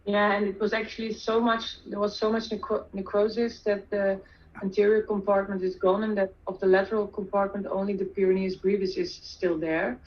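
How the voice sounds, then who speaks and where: A surgical trainee reports a patient's case plainly and matter-of-factly. The delivery stays flat and even, and the sound is dull and muffled, as if heard from somewhere else in the room. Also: medium pitch, fairly quick, recorded from a distance. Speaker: surgical trainee answering questions.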